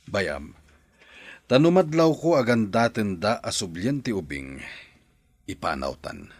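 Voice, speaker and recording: A man's voice: fairly low pitch, very animated, unhurried, no echo. A man acting an earnest husband and devoted father in an Ilocano audio drama. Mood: worried, tender. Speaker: actor in a drama.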